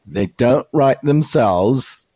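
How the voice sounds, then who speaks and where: A taunting high school bully in an audio drama, acted by a man. His raspy voice drags slowly and swings mockingly up and down, recorded dry. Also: lively intonation, warm tone, no room sound. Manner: mocking, drawling.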